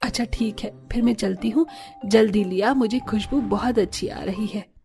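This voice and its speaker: A woman's voice: on the high side, normal speed, gravelly, dark tone, faint music behind. A woman voicing a curious, teasing older mother-in-law in a cartoon.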